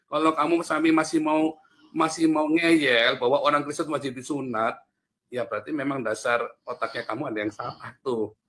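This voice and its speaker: A man's voice: medium pitch, fairly even intonation, normal speed, fairly bright tone. A man arguing as a pastor in a heated religious debate, insistent, blunt and informal. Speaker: pastor on a panel.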